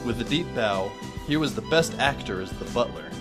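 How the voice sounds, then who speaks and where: A man narrating an audio drama as a wry, deadpan teenage boy. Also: mid-range voice, moderate pace, loud music behind the voice, lightly acted.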